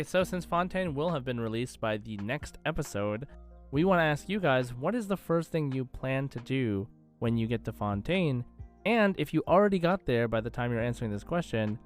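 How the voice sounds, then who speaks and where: A man co-hosting a casual podcast about gaming, talking informally to a co-host and listeners. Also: medium pitch.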